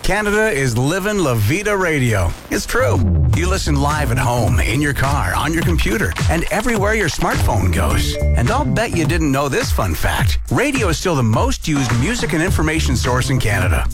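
Guy doing a radio promo, voice swings up and down a ton, loud music behind. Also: on the high side, huge pitch swings, normal speed. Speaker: station promo announcer.

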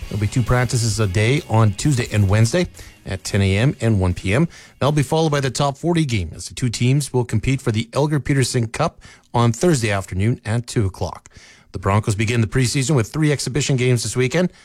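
A man's voice: mid-range voice, brisk, no room sound. Man reading local radio news, dry studio sound. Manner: steady, even newsreader delivery. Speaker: radio newscaster.

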